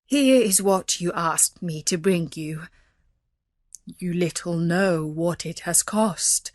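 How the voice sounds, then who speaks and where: A woman's voice: mid-range voice, unhurried, no echo, studio quality, lightly acted. A woman voicing a humble, frightened merchant father in a storybook, with a pleading, sorrowful and deferential manner. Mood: sad. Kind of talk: storybook character voice.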